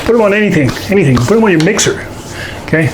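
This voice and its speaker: Folksy cooking host over clear background noise. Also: medium pitch, animated, racing. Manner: folksy, jokey, informal. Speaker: host of a paranormal show doing a baking segment. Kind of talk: casual cooking demonstration to camera.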